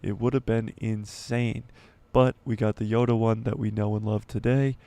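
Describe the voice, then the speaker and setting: A man hosting a casual livestream, reading an article aloud and commenting as he goes, stumbling and correcting himself, his voice going hoarse. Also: fairly low pitch, little pitch movement, normal speed, warm tone, dry recording. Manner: rambling, stumbles and self-corrects, laughs at himself. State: losing his voice, clearing throat. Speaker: YouTube livestream host.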